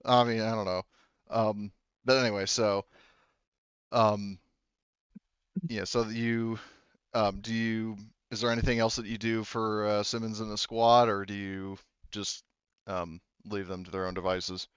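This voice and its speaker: A man with a clean, smooth voice talks casually as a game master. He rambles and breaks off his own sentences as he sets scenes. The sound is dry and a little dull. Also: lowish voice, flat delivery, measured pace, pauses often, no room sound. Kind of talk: casual tabletop roleplay narration and table talk.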